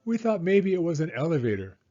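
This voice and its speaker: A bold, adventurous little girl from a storybook, voiced by a man, eager and insistent. The pitch is lifted and lively, with a slightly dull recording. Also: fairly quick. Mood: explaining, excited.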